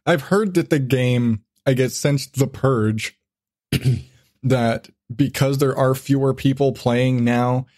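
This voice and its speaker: A man's voice: mid-range voice, slow, darkish tone, no room sound, studio quality. A man hosting a podcast in casual, profane chat, rambling along with irreverent, self-mocking asides. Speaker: podcast host.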